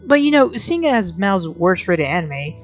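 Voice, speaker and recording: Sarcastic woman reviewing anime, low-pitched voice. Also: big pitch swings, normal speed, soft background music. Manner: sarcastic, informal. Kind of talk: scripted video commentary.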